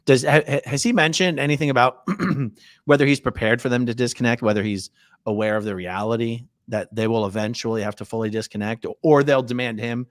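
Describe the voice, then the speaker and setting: A man hosting a casual interview, probing and halting, repeating words for emphasis as he works toward his point. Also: mid-range voice, steady tone, no room sound, clean studio sound. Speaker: host interviewing a guest on a recorded call.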